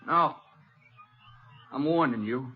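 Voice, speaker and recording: An actor playing a defensive, hot-tempered young rancher with an American accent, blustering and faltering in an old radio western. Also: male voice, medium pitch, slow pace, breathy edge, faint music behind. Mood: angry, defiant. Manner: agitated, faltering when challenged. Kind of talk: 1950s radio western acting. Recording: dull vintage radio recording.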